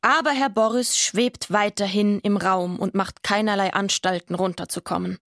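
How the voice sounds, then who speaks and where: Female narrator for radio drama: scripted storytelling, medium pitch, close dry studio sound. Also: fairly even intonation, moderate pace, fairly bright tone, no room sound.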